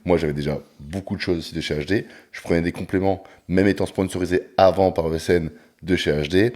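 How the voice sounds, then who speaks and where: A man hosting a casual podcast chat, talking in a relaxed way with frequent hesitations. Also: low voice, a little fast. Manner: relaxed, talks with many hesitations. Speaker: podcast host and coach.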